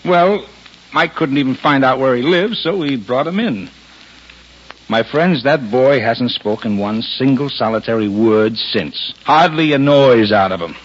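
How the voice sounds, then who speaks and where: A soft-hearted American cop from an old radio mystery. The husky voice swings widely as the lieutenant talks in emphatic, exasperated bursts. The sound is dull and aged. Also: male voice, medium pitch, lively intonation, a little slow. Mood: urgent, earnest. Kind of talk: 1950s American radio detective drama acting. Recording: muffled vintage radio recording.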